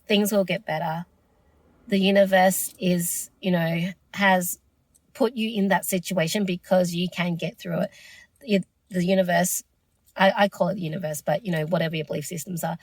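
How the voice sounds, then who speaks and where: Hoarse woman, earnest solo podcast talk. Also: lowish voice, no echo. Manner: reflective, earnest, encouraging. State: losing her voice. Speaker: podcast host.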